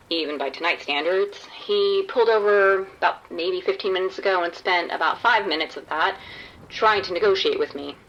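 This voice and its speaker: A woman's voice: on the low side, a bit bright, muffled recording, lightly acted. A woman playing a wry undercover policewoman in a radio drama, talking casually and matter-of-factly. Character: wry undercover policewoman posing as a street sex worker.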